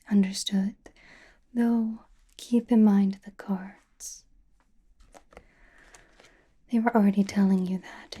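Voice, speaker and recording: A woman playing a calm, knowing, teasing sorceress who reads tarot in an ASMR roleplay, with a breathy, soothing whisper and deliberate delivery. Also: medium pitch. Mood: reassuring. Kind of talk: ASMR roleplay, personal attention.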